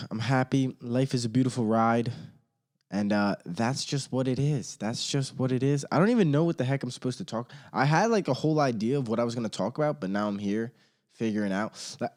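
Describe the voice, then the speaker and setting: Young male podcast host, mid-pitched, casual and rambling, slight hoarseness. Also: animated, moderate pace, a little mellow. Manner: rambling, laid-back, slangy. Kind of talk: casual solo podcast chat. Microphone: studio microphone.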